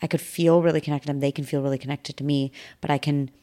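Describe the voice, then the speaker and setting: A woman's voice: deep voice, little pitch movement, fast, warm tone. A woman in her thirties, a podcast guest talking reflectively and earnestly in casual conversation. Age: 30s. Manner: reflective, earnest, talks through thoughts with restarts.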